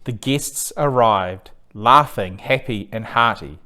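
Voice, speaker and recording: A man reading a rhyming children's story aloud as a storyteller, in an expressive, theatrical and deliberate way. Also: on the low side. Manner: animated, deliberate, theatrical read-aloud delivery. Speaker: storyteller reading a picture book.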